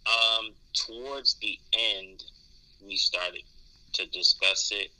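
Deep, very bright-voiced man talking candidly. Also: fairly even intonation, takes it slowly, low-quality recording. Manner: hesitant, thoughtful, repeats words while explaining. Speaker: podcast co-host discussing dating. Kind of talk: candid conversational talk.

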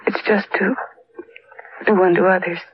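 An actress playing an earnest, hopeful woman survivor in a 1940s radio drama, speaking slowly and pleadingly. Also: fairly low pitch, steady tone, natural voice. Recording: muffled, noisy 1940s radio recording.

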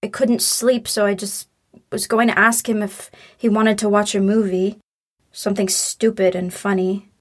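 A woman's voice: mid-range voice, level tone, moderate pace, husky, natural voice. A woman acting a hurt, defiant young daughter in a naturalistic audio drama, guarded and curt in manner. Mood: confiding, troubled.

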